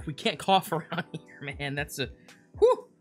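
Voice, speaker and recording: A young man chats casually as he hosts an unboxing. His voice is bright and swings widely in pitch, and music plays faintly behind him in a small room. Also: wildly swooping pitch, a little slow. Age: young adult. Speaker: host of card unboxing videos. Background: background music.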